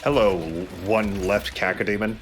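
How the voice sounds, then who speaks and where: A man's voice: on the low side, steady tone, normal speed, background noise. A man hosting a let's play, giving casual, explanatory gameplay commentary.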